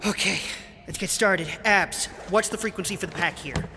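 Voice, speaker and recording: A man's voice: high voice, moderate pace, noisy background. A man playing a cocky, reckless young brother with a breezy, flippant manner in an audio drama. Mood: confident. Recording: reverberant hall, music behind. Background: music.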